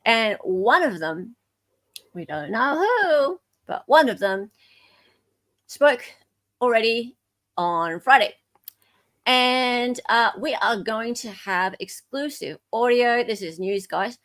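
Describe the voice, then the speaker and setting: A woman's voice: fairly high pitch, fairly bright tone. Australian woman, middle-aged livestream host. Informal, chatty and playful delivery. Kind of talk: casual livestream chat.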